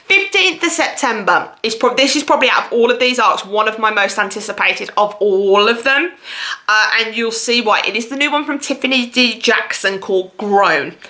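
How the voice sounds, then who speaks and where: A woman's voice: fairly high pitch, expressive, normal speed, bright, forceful tone. A woman hosting a chatty book vlog, talking straight to her viewers in an enthusiastic, gushing way. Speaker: book reviewer and video host.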